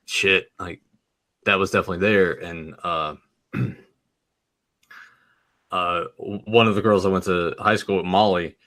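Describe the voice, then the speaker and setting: A man's voice: deep voice, fairly even intonation, measured pace, pauses often, a bit bright. A man with a Southern American accent, co-hosting a podcast in a rambling casual chat full of restarts. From Southern United States. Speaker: podcast co-host.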